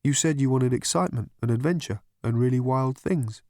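A man voicing a gloomy male robot who talks in a flat, deadpan way. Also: mid-range voice, fairly quick, dry recording, clean studio sound, natural voice. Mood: weary.

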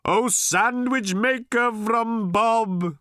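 A man's voice: very high-pitched, drawn-out words, bright, forceful tone, no room sound, studio quality, pitched above the performer's own voice, heavily acted. A man voicing a grave, pious old village holy man in an audiobook, with pompous, archaic, cryptic pronouncements. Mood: solemn, pious. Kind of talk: audiobook character voice.

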